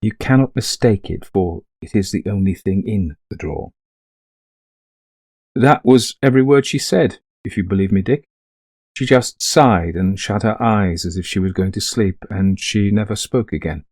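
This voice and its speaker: An actor playing a middle-aged, greying widower in a ghost story, confiding an eerie haunting to a friend in genteel, old-fashioned phrasing. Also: male voice, fairly low pitch, moderate pace, darkish tone, no echo, studio quality. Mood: haunted, grave. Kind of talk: dramatised first-person ghost story narration.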